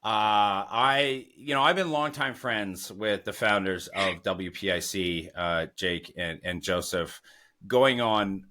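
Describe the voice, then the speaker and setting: A man with a bright, tense-edged voice hosts a podcast interview. He talks in a chatty, enthusiastic way and rambles into practical tips between questions. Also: lowish voice, slow. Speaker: podcast host.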